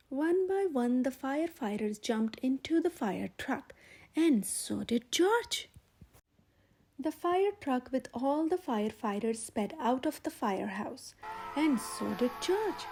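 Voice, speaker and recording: A woman storyteller reading a children's picture book aloud in an expressive, emphatic way for young listeners. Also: high-pitched, husky. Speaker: storyteller, channel host.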